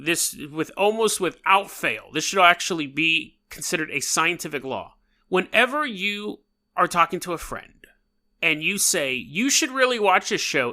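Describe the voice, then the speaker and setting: A man in his thirties with a very bright, fairly high voice, a little hoarse from a head cold. He talks alone to his listeners in a loose, rambling way and sounds amused at himself. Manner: chatty, rambling and self-amused. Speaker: podcast host. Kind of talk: casual solo podcast monologue.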